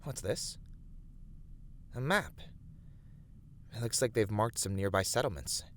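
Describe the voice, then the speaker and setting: A man acts out a steadfast male adventurer in a fantasy audio roleplay. His voice sits on the low side, with measured, slightly formal phrasing that encourages and plans. Clear background ambience runs behind the voice. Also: expressive, slight background noise. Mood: thoughtful, deliberative. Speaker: voice actor in a roleplay. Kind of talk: scripted ASMR fantasy roleplay addressed to the listener.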